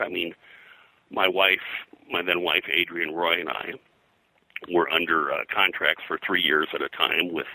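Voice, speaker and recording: An older American man reminiscing as an interview guest, in a halting, conversational way full of verbal filler. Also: sits low, bright, forceful tone, no room sound, rough recording. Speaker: interview guest, veteran comics colorist.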